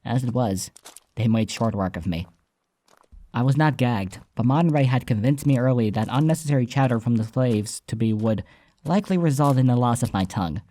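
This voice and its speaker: A man's voice: medium pitch, moderate pace, dark tone. A man reading a scripted first-person story as an audiobook narrator, with soundtrack music behind him.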